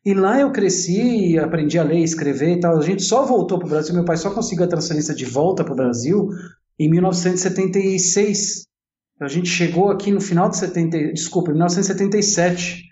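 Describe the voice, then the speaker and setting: A man in his forties with a São Paulo accent speaks in a slightly high, mellow voice. He chats informally as an interview guest, looping back to rephrase as he recounts his life. The sound is roomy and a little dull. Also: brisk. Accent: São Paulo Brazilian. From Italy and São Paulo, Brazil. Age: 40s. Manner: talkative, informal, repeats and rephrases himself. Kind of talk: casual autobiographical storytelling.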